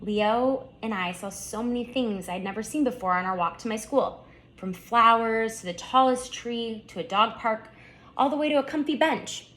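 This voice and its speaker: A woman voicing an excitable, curious young schoolgirl in a storybook, eager and earnest. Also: on the high side, moderate pace, voice pushed up, close to an everyday voice. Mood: excited. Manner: eager, wide-eyed, earnest.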